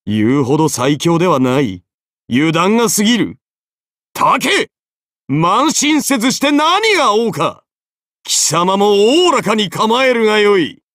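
A man's voice: sits high, big pitch swings, unhurried, fairly bright tone, no room sound, studio quality, full character voice. An actor playing a haughty, easily bored golden-armored king in an anime game, pompous, imperious and condescending. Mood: proud. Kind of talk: dramatic anime game character voice acting.

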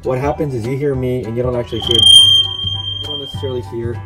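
Male product reviewer, casual and chatty, salesy delivery, mid-range pitch, light music underneath. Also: brisk, low-quality recording.

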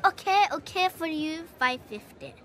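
A woman's voice: high voice, expressive, moderate pace, bright, forceful tone, a little hiss or hum. A woman playing a plucky young girl street vendor in a video game, with an Indian accent and a cheeky, persistent haggling manner. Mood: eager haggling. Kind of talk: cinematic video game acting. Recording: clear outdoor street ambience behind.

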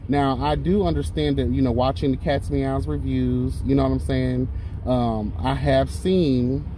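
A man's voice: medium pitch, little pitch movement, measured pace, dark tone. A young man from Florida, chatting while driving in a sassy, chatty way, calling out "girl" and "honey" as he talks about the shows he watches. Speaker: vlogger. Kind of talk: casual chat while driving. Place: inside a car, driving. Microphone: phone mounted on the dashboard. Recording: car phone recording with road noise. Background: car and traffic noise.

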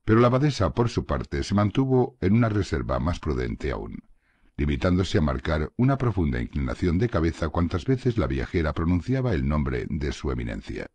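A man narrates a classic novel in a very deep, husky voice, his pitch rising and falling with lively expression. The reading is measured and steady. The recording is dry and close, with no room sound. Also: no echo. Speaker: audiobook narrator. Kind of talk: scripted literary narration.

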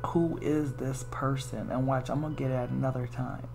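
A woman's voice: a bass voice, fairly even intonation, normal speed, vocal fry, background noise. An American woman giving a casual, chatty tarot reading, musing aloud in informal, slangy, salty language. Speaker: tarot reader.

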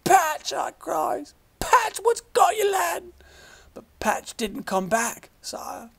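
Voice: mid-range voice, expressive, slow pace, creaky, a bit bright, voice pushed up, heavily acted. A reader voicing a tearful, simple old male shepherd who speaks in a rustic country dialect. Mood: storytelling.

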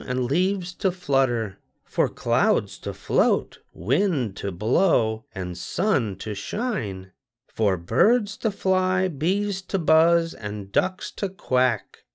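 A gentle big rabbit, soft and soothing, as an old man puts him on. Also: fairly high pitch, very animated, very slow, pitched above the performer's own voice, exaggerated voice. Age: older. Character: gentle grown male rabbit, patient and kind.

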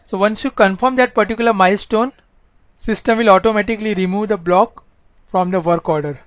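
A woman giving a step-by-step software training lecture as an instructor, explaining over slides. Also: mid-range voice, fairly quick, no echo.

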